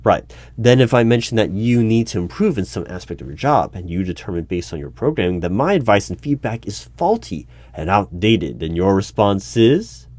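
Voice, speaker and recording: A man playing a wary, probing hiring manager in a one-sided audio roleplay, speaking haltingly with stammers and repeats. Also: fairly low pitch, sing-song, a little mellow, no room sound. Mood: guarded questioning. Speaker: hiring manager at a secret agency. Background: computer keyboard typing.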